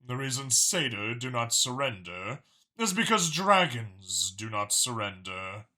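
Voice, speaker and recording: A man's voice: medium pitch, big pitch swings, a little slow, bright, forceful tone, voice pushed down, full character voice. A man voicing a calculating, mild-mannered dragon spymaster in an audiobook, articulate, formal and matter-of-fact about killing. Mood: grave, explanatory. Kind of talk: audiobook character dialogue.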